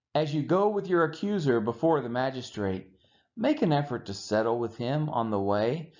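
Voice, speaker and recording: A man with a slightly high voice reads scripture aloud for a church read-along. His intonation swings widely, with emphatic, dramatic stress and measured phrasing. The recording sounds dull and lo-fi. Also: a little mellow. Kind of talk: expressive scripture reading aloud. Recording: dull, muffled lo-fi audio.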